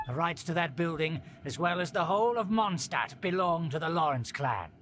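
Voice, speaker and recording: A man's voice: fairly high pitch, animated, moderate pace, a bit bright, slight background noise. An actor playing a haughty middle-aged nobleman in a video game, a scheming uncle with a pompous, formal, theatrical manner. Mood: smug, grandiose. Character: haughty aristocratic uncle scheming to restore his clan's rule.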